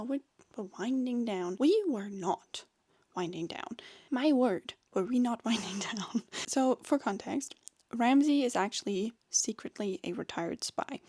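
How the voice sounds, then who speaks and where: A woman with a fairly high voice who speaks English as a second language, vlogging in a casual, chatty way. She tells things like stories, with little asides and chuckles, in a dry, close-sounding recording. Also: darkish tone, no room sound. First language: not English. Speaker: book and sewing vlogger. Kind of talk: casual chatty review to viewers.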